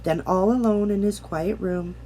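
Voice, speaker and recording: A woman reading a children's picture book aloud, gently and deliberately, with dramatic pauses. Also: mid-range voice, a little hiss or hum, poor audio. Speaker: storybook reader. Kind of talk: expressive children's bedtime read-aloud.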